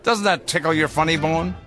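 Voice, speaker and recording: An actor playing a jovial, pompous boss cutting loose over drinks, with an expansive, playfully grandiose theatrical delivery. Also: male voice, a little high, fairly bright tone. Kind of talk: theatrical radio drama acting.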